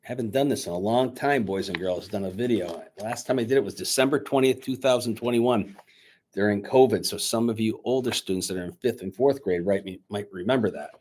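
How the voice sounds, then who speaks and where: A man speaking to schoolchildren as their teacher, reading a storybook aloud in an expressive, dramatic way. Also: on the low side, a little fast. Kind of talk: children's storybook read-aloud and chat to pupils. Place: indoors, recorded remotely on video.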